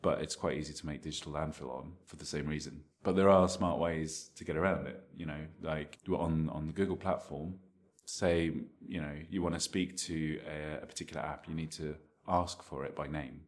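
A man giving conversational interview answers as an expert, explaining his opinions in a measured way. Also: a bass voice, steady tone. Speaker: interviewed marketing technology expert.

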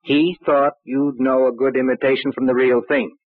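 A man's voice: medium pitch, little pitch movement, normal speed, no echo, lightly acted. Man acting a shrewd radio police inspector, tinny recording. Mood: pressing. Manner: probing, dry, clipped questioning. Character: shrewd, wry male police inspector questioning a suspect. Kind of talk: old-time radio crime drama acting. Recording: muffled, phone-like vintage radio recording.

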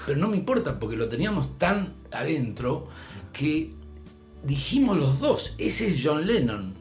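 An older man from Argentina with an Argentine Spanish accent, telling a long story in a relaxed chat, his voice rising and dropping as he goes. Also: on the high side, a little slow, soft background music. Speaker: storyteller guest. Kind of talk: casual anecdote.

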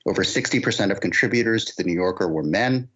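A man speaking as a guest critic in a literary discussion, explaining things in a measured way. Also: sits low, fairly bright tone, breathy edge. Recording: dull, lo-fi sound.

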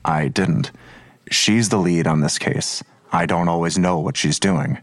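A weary male detective in an audio drama, low and raspy. He talks bluntly with sardonic skepticism, recorded very dry. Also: measured pace, no echo. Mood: skeptical, impatient. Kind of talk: naturalistic audio drama acting.

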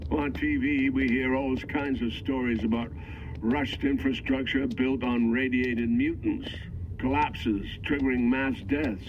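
An actor playing a weary, skeptical aging man in an audio drama, speaking in a wry, halting way. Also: medium pitch, steady tone, muffled recording, background noise, lightly acted.

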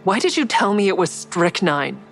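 Low-voiced, guarded, sardonic heroine. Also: female voice, big pitch swings, normal speed, creaky, soft background music. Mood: defiant. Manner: dry, defiant, wry. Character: guarded, sardonic woman, crisis counselor with secret kills. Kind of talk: audio drama dialogue and first-person narration.

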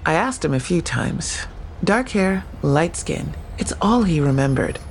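A man's voice: a little high, sing-song, unhurried, creaky, a little hiss or hum, full character voice, low-quality recording. An actor playing a persistent male detective who keeps questioning a case. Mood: probing. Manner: questioning, insistent.

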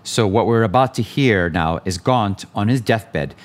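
A man's voice: on the low side, a little slow. A male theater director explaining a scene casually.

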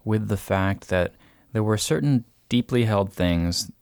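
A man hosting a casual podcast, reading out a listener's letter and talking thoughtfully with many pauses and fillers. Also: on the low side, gravelly, a little mellow, clean tone, dry recording, studio quality. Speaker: podcast host.

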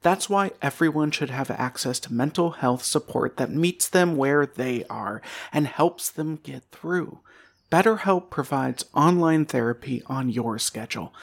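A man's voice: mid-range voice, vocal fry. A man delivering a scripted sponsor message as an ad announcer.

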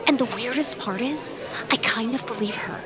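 A woman's voice: a little high, moderate pace, crowd noise, lightly acted. An actress playing a slightly drunk, defiant young woman in an audio drama, insistent, stumbling and exasperated. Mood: exasperated, bewildered. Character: tipsy, defiant young woman, sarcastic and bewildered.

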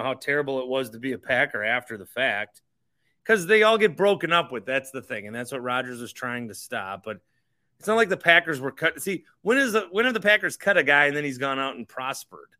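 Give this a man with a bright, forceful voice, recorded dry and close. He hosts a casual sports podcast and talks bluntly, full of opinions. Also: a little high, lively intonation, a little fast, no echo. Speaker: podcast host.

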